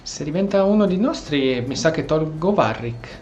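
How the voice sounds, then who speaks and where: A man's voice: on the high side, expressive, fairly quick, a little mellow, lo-fi sound. A man with an Italian accent giving casual, playful gameplay commentary, reacting aloud as host. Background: game music.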